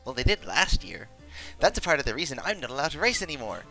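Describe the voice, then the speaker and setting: A man voicing a manic, cheerful cartoon pony commentator with a casually sinister streak and chirpy, over-excited announcing. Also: medium pitch, fast talker, gravelly. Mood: cheerfully sinister. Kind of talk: cartoon parody race commentary. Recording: long echoing reverb, music behind.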